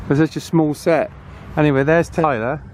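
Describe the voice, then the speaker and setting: A man's voice: on the high side, darkish tone. Chatty man over background noise. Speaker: host of radio repair channel. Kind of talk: casual hands-on demonstration chat.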